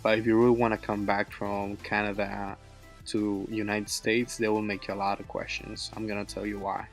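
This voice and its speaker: Laid-back Ecuadorian-accented man, low and flat. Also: moderate pace, faint music behind. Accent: Ecuadorian Spanish. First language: Spanish. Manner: chatty, loose, many fillers, drops Spanish words. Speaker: travel vlogger. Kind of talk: casual travel vlog narration.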